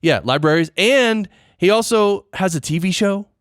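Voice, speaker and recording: A man's voice: sits high, animated, moderate pace, no echo, clean studio sound. A man hosting a podcast, chatting casually with his guest in an interview. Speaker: podcast host.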